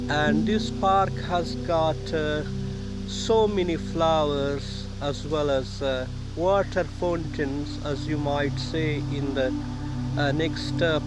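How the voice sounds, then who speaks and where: A man from Afghanistan talks slowly and haltingly as he films a park on his phone, with frequent pauses and fillers. His voice sits a little high for a man. Loud music plays under his speech throughout. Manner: hesitant, many "uh" fillers. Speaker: vlogger. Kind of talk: casual walking tour vlog. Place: outdoor park. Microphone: phone held while walking.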